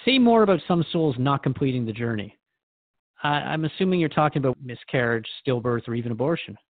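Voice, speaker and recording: A man's voice: medium pitch, lively intonation, fairly quick, no echo, narrow, tinny audio. A man playing a thoughtful, inquiring radio host, conversational and reflective as he interviews in a radio drama. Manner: reflective, questioning.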